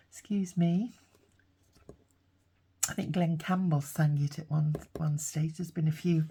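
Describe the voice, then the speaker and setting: A middle-aged woman with a croaky voice, half-whispering to herself while making a collage. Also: fairly low pitch, dark tone, a little hiss or hum. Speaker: craft video host. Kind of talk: soft-spoken crafting commentary.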